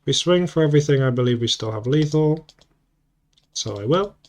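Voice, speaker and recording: A man with a sniffly cold and a slightly sore throat thinks aloud through an online card game. His voice sits a little higher than most men's, and he murmurs and restarts his lines as each play unfolds. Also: lively intonation, normal speed. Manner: muttering, self-correcting play-by-play. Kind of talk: thinking-aloud gameplay commentary.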